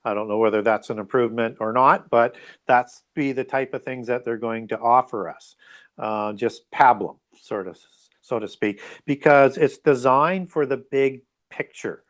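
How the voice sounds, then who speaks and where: A man giving explanatory answers as an interview guest, speaking conversationally and haltingly with frequent check-in tags. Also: medium pitch, fairly bright tone. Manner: halting, explanatory, often adds "right?" and "you know".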